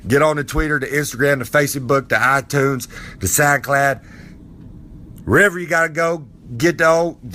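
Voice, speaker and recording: A man's voice: a little high, pushed and bright, a little hiss or hum. A man doing a folksy old wrestler, Old Master. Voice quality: folksy drawl, rambling, preachy. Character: boastful old male wrestler character, folksy. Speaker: podcast character segment.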